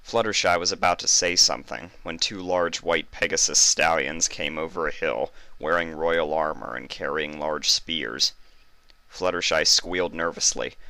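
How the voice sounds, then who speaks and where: A man's voice: a bass voice, gravelly, bright, forceful tone, no room sound. A man giving a scripted narration of a fan story.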